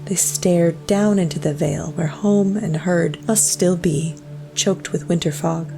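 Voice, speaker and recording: Low, gravelly woman narrating over music. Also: fairly even intonation, measured pace. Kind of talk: scripted narration of a dark folk-horror story.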